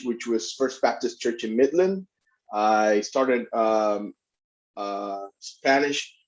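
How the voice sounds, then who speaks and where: A middle-aged man from Rico, telling his story as an interview guest in a halting way with many restarts. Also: mid-range voice. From Puerto Rico. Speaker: immigration attorney and guest. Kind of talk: conversational interview. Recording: dull lo-fi sound.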